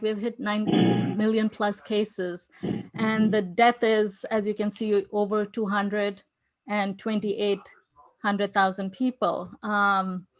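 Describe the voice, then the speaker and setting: A woman from India with an Indian accent, giving an earnest conference talk over a video call, with frequent fillers and restarts. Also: medium pitch. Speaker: conference speaker. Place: home in New York City.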